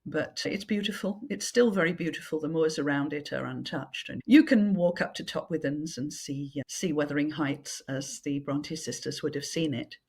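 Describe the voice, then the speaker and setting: Low, husky woman author. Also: normal speed, hardly any pauses, darkish tone. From England, Yorkshire. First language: French. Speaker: author guest. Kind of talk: casual interview chat. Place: home in Yorkshire, remote call. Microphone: video call.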